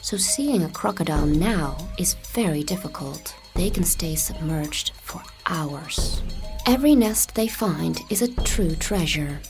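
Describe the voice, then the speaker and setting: A woman from the Netherlands, a native Dutch speaker, reading scripted voice-reel narration in an American accent with a breathy voice. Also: deep voice, expressive, unhurried, over music. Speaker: voice actor.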